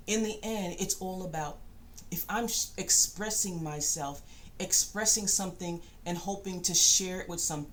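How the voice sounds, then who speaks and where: Woman, middle-aged, lowish pitch, reflective monologue, thoughtful pauses and rephrasing, slight background noise. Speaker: spiritual channel host and self-published author.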